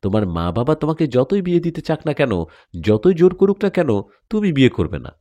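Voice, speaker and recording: Man acting a mellow, possessive jinn lover. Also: fairly high pitch, sing-song, brisk, no echo, pitched above the performer's own voice. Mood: devoted. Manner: assured, insistent.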